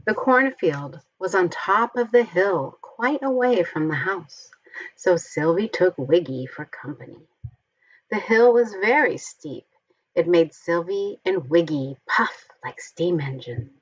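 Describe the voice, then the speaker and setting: A woman reads a children's story aloud in an expressive, deliberate way. Her voice is on the low side and husky, and she takes her time over each phrase, enunciating for young listeners. The recording is a little dull. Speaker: storybook reader.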